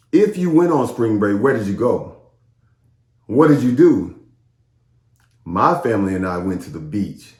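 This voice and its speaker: A man, a children's storytime host, speaking playfully and directly to young listeners in an animated read-aloud style. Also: on the low side, echoey room.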